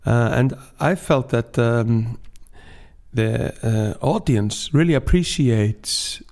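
A man with an Icelandic accent talking casually as a podcast guest, often pausing to search for words. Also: mid-range voice, a little mellow. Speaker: singer-songwriter and storyteller, podcast guest.

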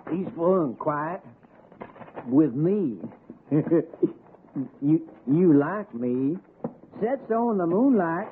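An actor playing a simple, lovesick young hillbilly with an Appalachian accent, halting and eerily gentle, in a radio drama. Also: male voice, a little high, expressive. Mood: dreamy, smitten. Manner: slow, halting, folksy. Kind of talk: 1960s radio suspense acting. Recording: tinny, noisy 1960s radio recording.

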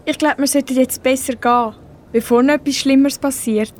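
A woman's voice: high-pitched, fairly even intonation, brisk, close to an everyday voice. A female performer with a Swiss German accent playing a kind, decisive mother in an amateur radio play. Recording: faint background noise.

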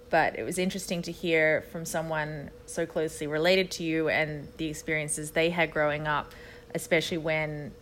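A woman's voice: deep voice, fairly bright tone. A woman co-hosting a podcast in casual, conversational talk. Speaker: podcast co-host. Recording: clear background ambient noise.

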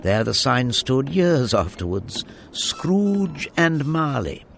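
An actor as the narrator of a radio drama, a man telling the story in a deliberate, wry way. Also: mid-range voice, big pitch swings, creaky, a little hiss or hum, exaggerated voice. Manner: deliberate, theatrical.

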